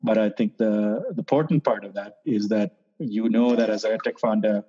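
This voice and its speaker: A man from Sri Lanka explains his startup programs in a relaxed, mellow voice that sits low. He hesitates often, filling gaps with uhs and restarting phrases. The recording sounds muffled and lo-fi. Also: normal speed. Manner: hesitant, many fillers and restarts. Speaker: startup accelerator founder, guest. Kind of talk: casual interview answers. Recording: dull lo-fi sound.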